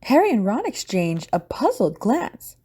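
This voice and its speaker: Mellow, husky woman narrating. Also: a little high, wildly swooping pitch, unhurried. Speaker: narrator and host. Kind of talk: scripted audio drama narration.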